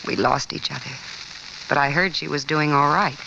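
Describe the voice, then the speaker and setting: A woman's voice: deep voice, moderate pace, creaky. A woman acting a world-weary, guarded confidante in an old radio crime drama. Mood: guarded, matter-of-fact. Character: world-weary woman confidante who knows the underworld crowd. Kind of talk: 1940s-50s American radio drama acting. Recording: dull, hissy old radio recording.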